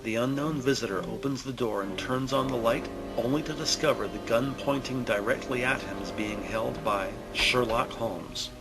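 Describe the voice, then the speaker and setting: A man reading scripted audio drama narration in a deliberate, descriptive storytelling manner. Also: mid-range voice, poor audio. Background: prominent music.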